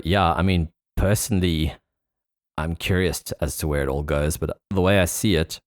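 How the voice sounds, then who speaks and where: A man hosting a podcast, talking in a casual, reflective, informal way with a husky voice. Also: a bass voice, no echo, clean studio sound. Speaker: podcast host. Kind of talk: casual conversational interview.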